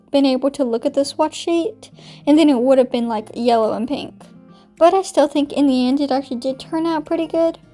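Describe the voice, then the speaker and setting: A young woman chatting casually over a voiceover, filling her talk with "like", her voice slightly hoarse. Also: high voice, warm tone. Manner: chatty, many "like" fillers. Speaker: art YouTuber.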